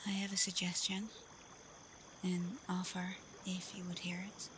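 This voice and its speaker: A woman playing a confident, protective, teasing female werewolf alpha in a soft, breathy, often whispered ASMR roleplay voice. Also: lowish voice, completely flat delivery, measured pace, noisy background, close to an everyday voice. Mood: calm, inviting. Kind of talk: slow ASMR roleplay addressed to the listener.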